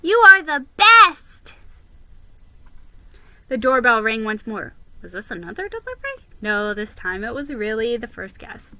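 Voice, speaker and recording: A woman giving a dramatic, expressive reading of a first-person children's story. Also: on the high side, normal speed, few pauses, no room sound. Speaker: storybook reader.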